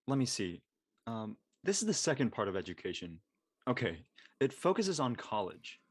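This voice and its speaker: A man's voice: mid-range voice, very animated, normal speed, breathy edge. A man playing a blunt, teasing college-age young man in casual scripted dialogue. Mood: blunt, friendly.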